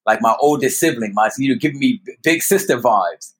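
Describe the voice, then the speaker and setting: A man speaking casually as a podcast guest, earnest and halting, often repeating words as he goes. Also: mid-range voice, animated, breathy edge. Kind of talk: casual conversational interview. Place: friend's apartment.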